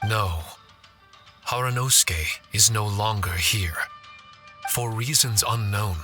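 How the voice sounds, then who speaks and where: Game role: solemn guardian spirit, courteous and measured, on the low side, crisply forceful tone. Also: male voice, soft background music. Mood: solemn, narrating. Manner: formal, measured, earnest speech. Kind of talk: dramatic game dialogue and exposition.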